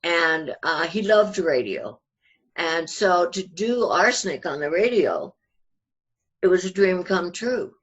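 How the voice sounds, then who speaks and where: A woman's voice: fairly low pitch, animated, slow, slightly rough, muffled recording. An older woman talking casually and fondly as an interview guest, sharing memories. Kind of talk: fond reminiscing in a casual interview.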